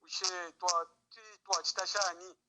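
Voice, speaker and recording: A man's voice: high voice, little pitch movement, a little slow. A man with a Zambian accent giving spontaneous political commentary as a caller on a noisy phone line.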